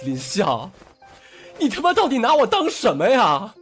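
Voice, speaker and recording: A man's voice: sits high, wildly swooping pitch, moderate pace, fairly bright tone, full character voice. A man acting a foul-mouthed, hot-tempered younger brother in an audio drama, confrontational and emotionally raw. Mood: furious, hurt. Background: background music.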